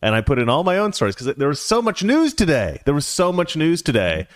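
A man's voice: fairly high pitch, huge pitch swings, fairly quick, no echo. A man hosting a casual commentary show, rambling and opinionated with a joking edge. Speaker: show host.

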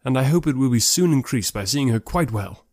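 A man voicing an amiable, cheerful young gentleman in an audiobook, friendly, open and earnest. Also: medium pitch, expressive, a little fast, dry recording, studio quality. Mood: cheerful, kind. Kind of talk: classic novel audiobook character voice.

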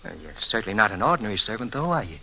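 An actor playing a cocky, flattering young charmer with sly menace, folksy and mock-humble, in old-time radio drama style. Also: male voice, lowish voice, very fast, exaggerated voice, low-quality recording. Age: young adult. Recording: vintage radio recording, clear background noise.

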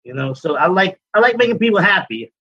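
Bright-voiced man, chatty and relaxed. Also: high voice, fast, breathy edge, no echo, highs cut off. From Guyana. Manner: relaxed, repetitive, rambling with many "you know"s. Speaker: cigar business owner, guest. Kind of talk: casual interview talk.

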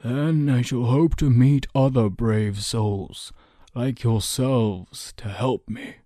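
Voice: medium pitch, steady tone, dark tone, no room sound, studio quality. A performer voicing a kindly, gracious old male traveler in a storybook, with a wheezy voice. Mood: kindly, grateful. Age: elderly. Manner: slow, gracious. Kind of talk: audiobook character acting.

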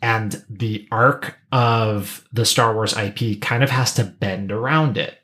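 A man's voice: lowish voice, steady tone, slow, a bit bright. Man chatting casually, rambling as he thinks. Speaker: podcast host. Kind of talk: casual pop-culture discussion.

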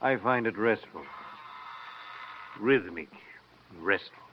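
Smug lawyer, muffled old radio. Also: male voice, lowish voice, slow pace. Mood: smug, cool. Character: smug, evasive male lawyer. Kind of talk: old-time radio drama acting. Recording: muffled vintage radio, faint noise.

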